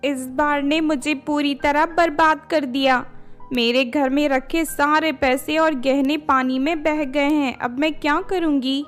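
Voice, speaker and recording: A woman's voice: high-pitched, fairly even intonation, measured pace, soft background music. A woman voicing a spiteful, dramatic young stepdaughter in a cartoon moral story. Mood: panicked, desperate. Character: spiteful, selfish young stepdaughter, hot-tempered, later panicked.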